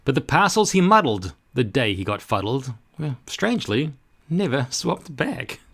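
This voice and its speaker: Animated, slow-paced male storyteller. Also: medium pitch, creaky. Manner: rhythmic, dramatic reading of rhyme. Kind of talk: expressive scripted children's read-aloud.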